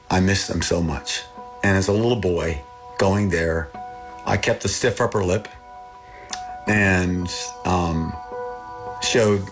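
An older man raised in Thailand with Thai as his first language, talking earnestly as an interview guest and lifting his voice when he recalls things. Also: low-pitched. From born in Texas, raised in Thailand. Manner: earnest, animated when recalling, repeats and restarts. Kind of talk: reflective interview talk.

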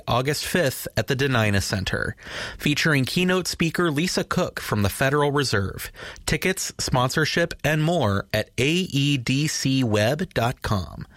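A man's voice: medium pitch, fairly bright tone, no room sound, clean studio sound. An American man reading a scripted sponsor announcement on the radio.

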